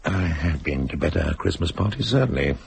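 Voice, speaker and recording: Deep, gravelly, wry man. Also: big pitch swings, fairly quick, a little hiss or hum, exaggerated voice. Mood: wry, calm. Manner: dry, sardonic, authoritative. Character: wry, dry-witted, educated husband. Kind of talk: audio drama acting.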